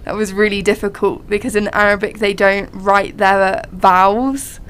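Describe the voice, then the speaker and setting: A young woman, a radio guest, chatting in a thoughtful, hesitant way with frequent pauses. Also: medium pitch, fairly even intonation, fairly bright tone, a little hiss or hum. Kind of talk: casual chat.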